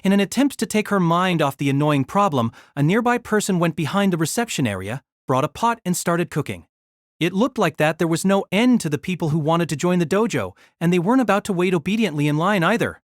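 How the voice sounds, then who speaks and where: A man narrating a fantasy novel for an audio drama, with a fairly high voice that rises and falls a lot. His reading is steady and measured, and the recording is close and dry with no room sound. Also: no room sound.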